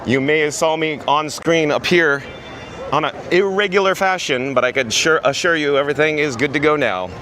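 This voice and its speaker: A man with a hoarse, raspy voice hosting a chatty live stream outdoors at a theme park and talking into a handheld phone. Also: on the high side, moderate pace, sharp tone, slight background noise. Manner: upbeat, chatty, reads chat aloud. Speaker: vlog host.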